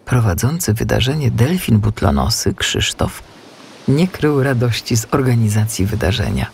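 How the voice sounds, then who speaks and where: A man narrating a children's story as an audiobook, with expressive scripted delivery. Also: mid-range voice, moderate pace, creaky, slight background noise.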